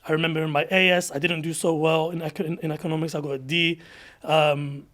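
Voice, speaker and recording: A man, speaking as a podcast guest. His voice sits a little higher than most men's. He talks in a relaxed, thoughtful way, pausing and restarting as he works through his answers. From London, England. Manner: reflective, with frequent restarts and fillers. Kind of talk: casual interview chat.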